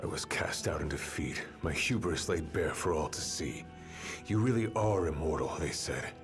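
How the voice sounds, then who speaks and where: An actor playing a seasoned middle-aged warrior who speaks calmly and drily. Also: male voice, extremely low pitch, normal speed, faint music behind. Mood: wry. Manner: dry, calm and reflective.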